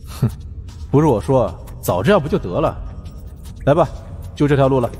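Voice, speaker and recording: A man voicing an impatient, gruff male squad chief in an audiobook, speaking curtly and with command. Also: mid-range voice, expressive, moderate pace, darkish tone, big-room sound, soft background music, pitched above the performer's own voice, close to an everyday voice. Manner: curt, commanding. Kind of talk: audiobook character acting.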